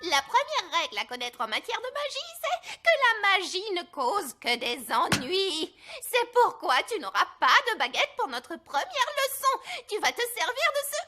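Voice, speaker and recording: A veteran schoolmistress of magic in a children's cartoon. Very high-pitched, sharp and animated, she is imperious, strict and exasperated with her pupil. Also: a little slow, hardly any pauses, faint music behind, heavily acted. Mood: scolding. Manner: imperious, scolding.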